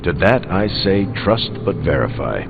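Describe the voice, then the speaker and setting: An actor playing a wary, dry, authoritative warrior hero in a video game. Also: male voice, low voice, sing-song, a little slow, vocal fry, very noisy, full character voice. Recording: dull lo-fi audio under loud music.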